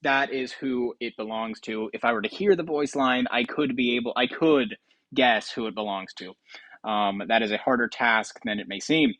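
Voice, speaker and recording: A man giving casual gaming commentary as a host, in a medium-pitched voice. He slips into mock-grandiose, tongue-in-cheek announcing, recorded close and dry. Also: moderate pace, a bit bright, no echo.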